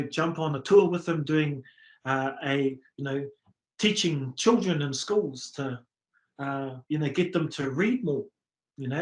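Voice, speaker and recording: A man's voice: a little high, fairly bright tone, reverberant, rough recording. A middle-aged man with a New Zealand accent, telling his story earnestly in a casual interview, with many fillers and restarts. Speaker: musician guest.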